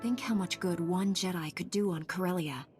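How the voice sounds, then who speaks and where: A woman's voice: on the low side, moderate pace, a little mellow, faint music behind, natural voice. An actress voicing a composed, earnest young female Jedi knight in scripted video game dialogue. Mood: resolute. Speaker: game character.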